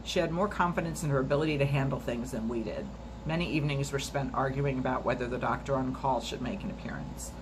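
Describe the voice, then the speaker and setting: A woman reading a memoir aloud for a storytime video at a steady, measured pace, now and then stumbling and restarting a word. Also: rumbling low, background noise. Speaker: storytime reader.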